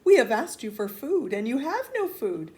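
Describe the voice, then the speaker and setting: Storybook soldier, played by a woman reader: hungry, hopeful man, declaiming boldly with animated pitch. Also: normal speed. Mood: bold, proclaiming. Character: hungry, bold, hopeful marching soldiers, adult men. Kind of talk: storybook character reading.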